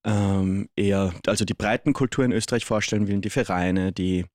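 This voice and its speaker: Man, relaxed radio guest. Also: lowish voice, fairly quick, no echo, studio quality. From Vienna, Austria. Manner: relaxed, joking, hesitant fillers. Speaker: actor, studio guest. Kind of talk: casual radio interview. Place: radio studio.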